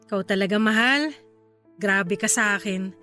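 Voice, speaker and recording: A woman's voice: medium pitch, takes it slowly, sharp tone, soft background music. An actress playing an evasive, defensive young woman who manages a grocery in a Tagalog radio drama, speaking expressively. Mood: placating, sweet.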